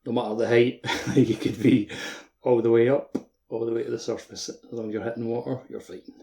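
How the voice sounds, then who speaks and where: A man's voice: mid-range voice, little pitch movement, a little fast, mellow. A man co-hosting a podcast, chatting casually about a game in loose sentences peppered with "like". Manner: informal, frequent use of "like". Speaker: podcast co-host. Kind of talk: casual video game discussion.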